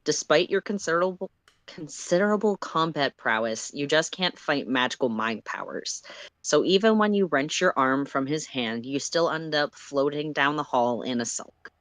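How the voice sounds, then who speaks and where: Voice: mid-range voice, animated, normal speed, a bit bright, dry recording. Close, dry-sounding story narrator. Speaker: story reader. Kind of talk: expressive fan fiction narration.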